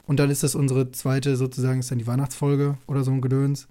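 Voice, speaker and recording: A man's voice: mid-range voice, monotone, fast, mellow. A young man co-hosts a podcast in casual, slangy banter with a wry, teasing manner. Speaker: podcast co-host.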